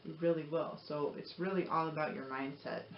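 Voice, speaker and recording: A woman's voice: low voice, steady tone, fairly quick. A woman talking casually to viewers in an earnest, encouraging, rambling way, like a motivational vlogger. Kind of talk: casual personal talk to viewers. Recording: roomy echo, dull lo-fi audio, noisy.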